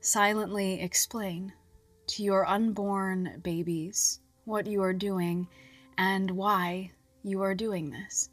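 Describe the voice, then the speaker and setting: A woman reading a scripted guided relaxation in a soft, soothing, measured voice with long pauses and breathy phrase endings. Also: medium pitch, fairly even intonation, dry recording. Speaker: guided meditation narrator. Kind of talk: scripted hypnobirthing relaxation guidance. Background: music.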